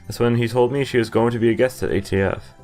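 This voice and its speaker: A man's voice: mid-range voice, fairly even intonation, fast, darkish tone, soft background music. A man in his twenties hosting a playthrough, reading game narration aloud with casual asides. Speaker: gaming video host. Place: indoor room, webcam in corner.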